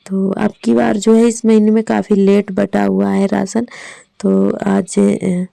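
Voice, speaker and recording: A woman in her thirties with a hoarse voice from a sore throat, narrating her village day in a casual, rambling voice-over. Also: mid-range voice, level tone, slow, warm tone, no room sound, clean studio sound. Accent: Hindi. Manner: rambling, with many fillers.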